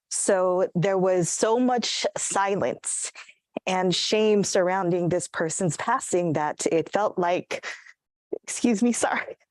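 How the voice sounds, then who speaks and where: Earnest woman from Guam, low dry voice. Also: a little mellow, no room sound. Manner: earnest, measured, clears throat at times. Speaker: assistant professor, panelist. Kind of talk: prepared remarks at a virtual event. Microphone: video call.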